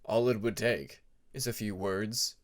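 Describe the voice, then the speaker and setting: A man's voice: on the low side, fairly even intonation, measured pace, lightly acted. A man voicing a proud, menacing male dragon with a taunting edge in an audiobook story. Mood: threatening. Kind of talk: fan fiction audiobook character voice.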